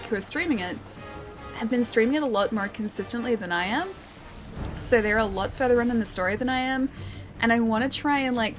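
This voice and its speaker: Chatty Australian woman streamer, higher voice. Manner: chatty, upbeat, reads chat aloud and answers viewers. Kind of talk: casual live-stream chat while gaming. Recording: game music playing behind the voice.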